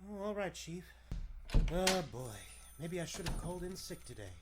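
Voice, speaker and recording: Mild-mannered reporter played by a man, radio drama: high, hesitant, deferential, lively intonation. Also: moderate pace, noisy background. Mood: reluctant. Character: meek, mild-mannered young male newspaper reporter. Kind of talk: 1940s radio drama acting.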